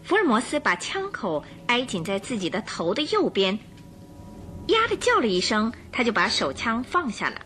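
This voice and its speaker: A woman narrating a scripted radio drama with expressive storytelling. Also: on the high side, moderate pace. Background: music.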